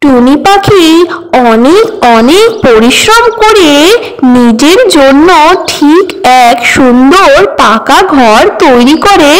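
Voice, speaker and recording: A woman narrates a children's cartoon fable in a high voice. She reads the scripted story at a very slow, measured pace. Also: animated, low-quality recording.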